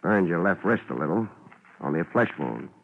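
An actor playing a wisecracking, world-weary private investigator, narrating and trading lines in a dry, laid-back deadpan in a hard-boiled radio drama. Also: male voice, low-pitched, fairly quick, husky, exaggerated voice. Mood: wry, laid-back. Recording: muffled, noisy 1950s radio broadcast.

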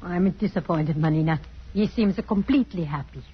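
A woman's voice: lowish voice, moderate pace, husky. An actress playing a sardonic, needling woman friend with a dry, teasing manner, in 1950s radio drama style. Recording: dull old radio recording.

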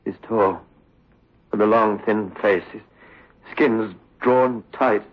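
Tormented young male lead for old-time radio horror: rough-edged voice, overwrought pleading, stammer, dull old recording. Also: fairly low pitch, fairly even intonation, takes it slowly. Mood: haunted. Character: tormented, obsessive young man haunted by nightmares. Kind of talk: 1960s radio horror acting.

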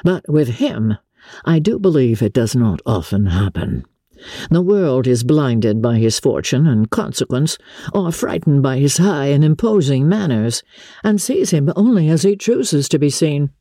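Female reader as young period gentleman: dark husky tone, courteous, confiding, air of wounded dignity, classic novel reading. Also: deep voice, lively intonation, measured pace, no room sound, clean studio sound, heavily acted. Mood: guarded. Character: genteel, smooth-talking young gentleman officer, wounded dignity. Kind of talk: dramatic audiobook character reading.

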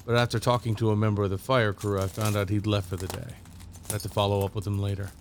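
Wry male federal agent played by a man, lowish voice, understated fiction-podcast narration over noticeable noise. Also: flat delivery, quick pace, lightly acted. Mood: measured narration. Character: male counterterrorism agent Blake, wry first-person narrator. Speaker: narrator and lead actor. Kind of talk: scripted audio drama narration.

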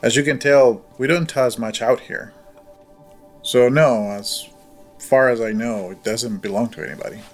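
A man's voice: mid-range voice, measured pace, faint music behind, natural voice. An actor playing a plainspoken, obliging male farmer in a naturalistic audio drama.

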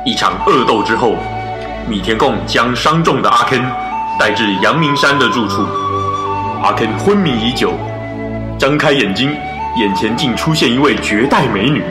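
A man narrating a martial arts radio drama in a theatrical, excitable storytelling manner. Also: mid-range voice, normal speed, lightly acted. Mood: excited. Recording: loud music behind, roomy echo.

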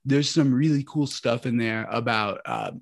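Man, raspy voice, vocal fry, swears a lot, coughs and clears throat. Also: medium pitch, huge pitch swings, normal speed, no room sound. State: high on cannabis. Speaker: podcast co-host. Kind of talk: casual chat.

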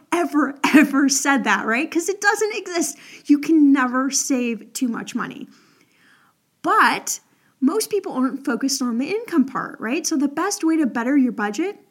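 A young woman hosting an advice podcast in a chatty, upbeat way, her voice a little crackly from the early morning. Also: high-pitched. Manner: chatty, upbeat, lots of "like" and "all right?". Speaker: podcast host.

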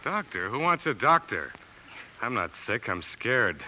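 A man's voice: mid-range voice, big pitch swings, measured pace, bright, forceful tone, a little hiss or hum, exaggerated voice, rough recording. An actor playing an earnest young male science student and narrator in a 1940s radio drama, sometimes hesitant. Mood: flustered. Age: young adult. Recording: vintage radio with musical underscore.

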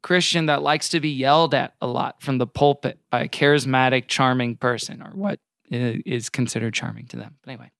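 A man's voice: mid-range voice, steady tone, brisk, creaky, few pauses, fairly bright tone, clear, resonant tone, no room sound, studio quality. A man co-hosting a podcast in casual discussion, wry and often qualifying his points as he goes. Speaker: podcast co-host.

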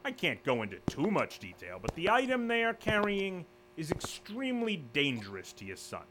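An actor playing a smooth male corporate official who speaks with false politeness and quiet threat. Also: high voice, wildly swooping pitch, moderate pace, noisy background. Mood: smooth menace. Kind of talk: audio drama acting.

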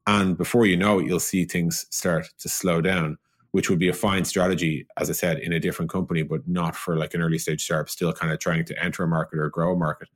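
Very low-pitched man talking business informally. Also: flat delivery, quick pace. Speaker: tech company executive and podcast co-host. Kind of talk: conversational business discussion.

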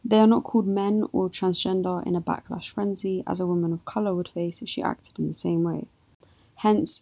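Husky, gravelly woman reading a script. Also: fairly low pitch, warm tone. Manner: formal and measured, reading from a script. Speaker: podcast co-presenter. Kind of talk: scripted narration.

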